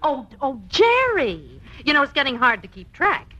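A woman playing a wry, breezy, inquisitive confidante in a 1950s radio comedy, brisk and wisecracking. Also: high voice, wildly swooping pitch, sharp tone, full character voice. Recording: muffled 1950s radio broadcast.

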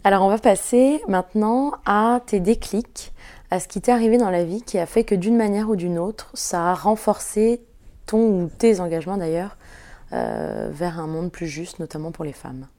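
A woman interviewing a guest, putting her questions slowly and deliberately. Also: mid-range voice, warm tone. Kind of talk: casual interview.

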